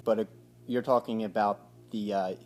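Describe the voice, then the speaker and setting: A man in his forties at home, asking slow, hesitant, mock-innocent questions on a recorded phone call. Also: fairly low pitch, fairly even intonation, darkish tone, faint music behind. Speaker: caller. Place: home living room by a window.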